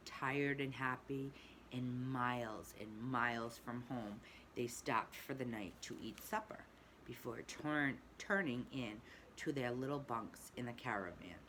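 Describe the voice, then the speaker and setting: A woman's voice: rumbling low, measured pace, fairly bright tone, slight background noise, rough recording. A woman who teaches preschool, reading a storybook aloud expressively and adding asides to the children. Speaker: pre-K teacher.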